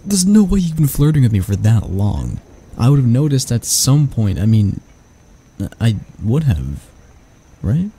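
A gravelly, frying voice gives us a grumpy barista with a soft spot , played by a man. He is flustered and flirty, halting and teasing as in a romance audio roleplay. His tone is very dark and relaxed, with noticeable noise behind it. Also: mid-range voice. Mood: flustered disbelief. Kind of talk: romantic ASMR roleplay acting.

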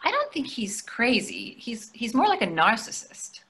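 A woman plays an older wife in readers theatre, soothing and chiding by turns. Her voice sits a little high and moves expressively up and down. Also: huge pitch swings, normal speed, fairly bright tone, full character voice. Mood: reasoning. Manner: reasoning, dryly chiding. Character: placating, gently needling middle-aged wife. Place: plain room indoors on a video call.

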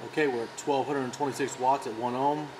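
A man's voice: mid-range voice, steady tone, a little fast, noisy background. A man giving a casual, matter-of-fact technical demonstration as a company product demonstrator. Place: indoor workshop.

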